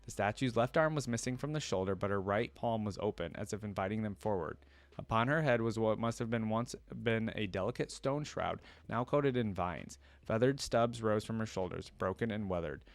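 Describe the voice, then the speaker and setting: A man reading a story aloud as a reaction host, expressive in the telling, with casual asides. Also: lowish voice, moderate pace, no room sound.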